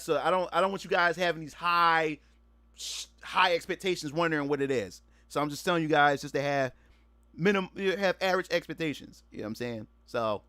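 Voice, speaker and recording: A man's voice: fairly high pitch, a bit bright, dry recording. A man streaming live in a casual, rambling chat, often restarting and repeating words as he talks straight to his viewers. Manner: laid-back, addresses viewers directly.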